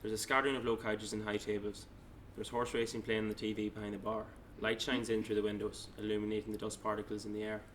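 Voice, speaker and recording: A man's voice: on the low side, level tone, fast, hardly any pauses, a bit bright, slight background noise. A man narrating stage directions at a table read, plainly, with small stumbles as he reads.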